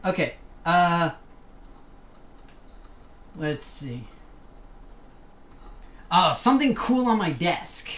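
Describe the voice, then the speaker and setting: A woman's voice: lowish voice, very animated, drawn-out words, long pauses. A woman streaming a game from her desk, chatting casually, joking around, trying out put-on accents and talking back to the game. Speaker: gaming streamer. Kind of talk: casual live-stream commentary. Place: home room at a desk. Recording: roomy with faint room hum.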